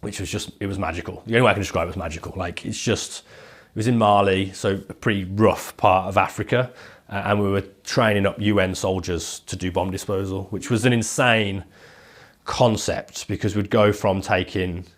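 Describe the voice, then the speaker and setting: A man's voice: low-pitched, steady tone, moderate pace. A man in his thirties with a head cold, sounding nasal as he tells his story in casual, rambling chat full of "like". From England. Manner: casual, rambling, heavy use of "like". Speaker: podcast guest, leather maker.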